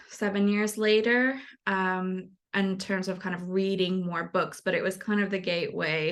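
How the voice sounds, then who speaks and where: A woman's voice: lowish voice, creaky. A young adult woman from the United States, a knitwear designer, talking casually in an interview with a rambling manner. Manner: relaxed, rambling, frequent fillers and light laughs.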